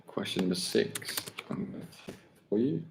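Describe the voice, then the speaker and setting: A man's voice: on the low side, expressive, a little hiss or hum. A man hosting a live-streamed football quiz, repeating questions and chatting casually with viewers. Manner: repeats each question slowly and clearly, chats to viewers. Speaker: quiz host. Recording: roomy, echoing home livestream sound.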